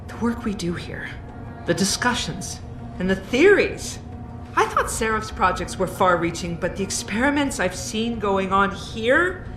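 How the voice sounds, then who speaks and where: Earnest, guarded female scientist for a video game, played by a woman; measured, articulate, mid pitch, roomy reverb over music. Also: close to an everyday voice. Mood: uneasy, reflective. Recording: echoey room, music underneath.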